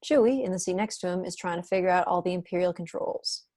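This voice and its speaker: Low-voiced woman reading stage directions. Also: fast talker, dark tone, no echo, studio quality. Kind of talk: scripted narration. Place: indoors on a video call.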